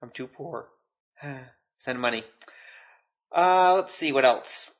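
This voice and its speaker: A man's voice: medium pitch, slow pace, highs cut off. A man hosting a podcast solo, talking informally with self-deprecating, joking asides. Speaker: podcast host. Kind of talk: casual solo podcast talk.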